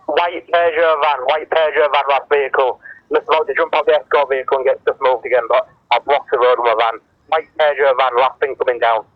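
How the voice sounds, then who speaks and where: A British man comes through a dull, band-limited two-way radio with no room sound. He talks quickly and casually, like a road works traffic controller relaying vehicles. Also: medium pitch, fairly even intonation, no echo. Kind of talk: casual work radio chatter.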